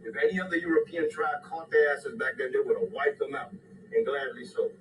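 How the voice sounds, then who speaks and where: A man's voice: big pitch swings, a little fast, fairly bright tone, recorded from a distance, rough recording. A man from Puerto Rico and Saint Thomas whose first language is Spanish, lecturing emphatically in a casual chat while stocking shelves in a supermarket. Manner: emphatic, lecturing, swooping pitch. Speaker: store worker talking to a customer. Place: supermarket aisle.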